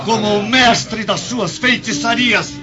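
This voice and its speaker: An actor playing a cruel, commanding Roman guard chief in a radio drama, scornful and theatrical. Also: male voice, high-pitched, fairly even intonation, slow. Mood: furious. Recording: dull recording with music behind. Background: music.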